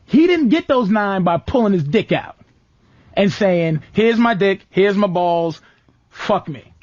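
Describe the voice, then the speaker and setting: A man with a notably high voice hosts a crude comic debate show. He is blunt and combative, repeating points for emphasis. The sound is dry, close and somewhat dull. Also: lively intonation, a little slow, a little mellow, no room sound. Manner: blunt, profane, argumentative. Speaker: talk show host. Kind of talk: heated comic debate, crude casual talk. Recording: dull lo-fi dry studio audio.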